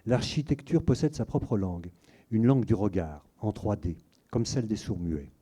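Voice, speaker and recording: A man reading a literary passage aloud, measured and deliberate. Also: fairly low pitch, lively intonation, dark tone, breathy edge. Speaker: reader of excerpts.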